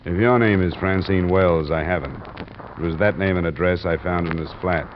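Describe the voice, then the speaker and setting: A muffled, noisy old radio recording carries a very deep-voiced American investigator, played by a man. He is world-weary and dogged, speaking in terse, hardboiled phrases in the style of a fifties detective drama. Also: moderate pace, natural voice. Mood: terse, probing. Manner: clipped, terse hardboiled delivery.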